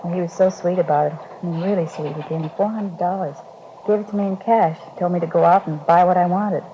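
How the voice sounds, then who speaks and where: Woman acting a naive young girlfriend, lowish voice, earnest, chatty, 1950s radio drama, muffled noisy recording. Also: fast talker, close to an everyday voice. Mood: fond, earnest.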